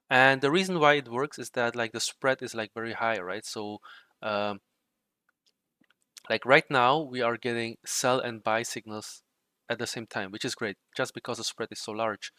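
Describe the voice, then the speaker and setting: Young guy from czechia on a livestream, bright crisp voice, dry close sound, lots of "like" and "right". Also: mid-range voice, normal speed, dry recording. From Czech Republic. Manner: relaxed, rambling, frequent fillers like "like" and "right". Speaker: livestream host and trading bot developer. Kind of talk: casual livestream chat while coding.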